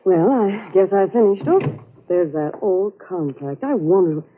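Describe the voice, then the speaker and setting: An actress playing a cool, teasing, world-weary nurse in 1940s radio drama style. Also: female voice, medium pitch, animated, unhurried, slight background noise, lightly acted. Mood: coy, teasing. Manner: coy, knowing. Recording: tinny old radio recording.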